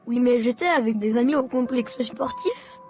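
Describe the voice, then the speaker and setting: A very high, youthful voice over music. A woman plays a dutiful, hurt boy in an animated drama, speaking in an earnest and subdued way. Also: moderate pace, husky. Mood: defensive, meek. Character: hurt, dutiful schoolboy son named Karl. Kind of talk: dramatic dialogue and confession in an animated short. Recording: music behind.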